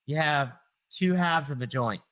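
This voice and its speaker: A man's voice: a little high, a little slow, fairly bright tone. A man in his forties with a Southern US accent, a chiropractor talking casually on a podcast, full of fillers and acted-out patient quotes. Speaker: chiropractor guest. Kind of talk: explanatory podcast conversation.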